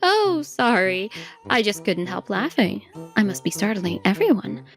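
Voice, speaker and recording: Bold, animated, teasing woman acted. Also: medium pitch, measured pace. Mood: amused. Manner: mock-polite, challenging. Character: bold, teasing, sharp-witted young woman exposing a liar. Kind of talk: manga dub acting. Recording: music clearly behind voice.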